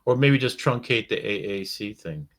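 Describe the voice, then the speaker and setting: A man chatting casually as a software expert, enthusiastic and exclamatory, rambling as he explains. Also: mid-range voice, expressive, normal speed. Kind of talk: casual live tutorial chat.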